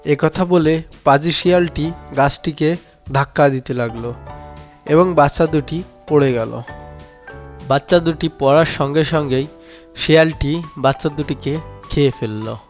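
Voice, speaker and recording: This is a man telling a scripted story for children as its narrator. His voice sits a little high for a man. Soft music plays behind the narration. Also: measured pace, long pauses.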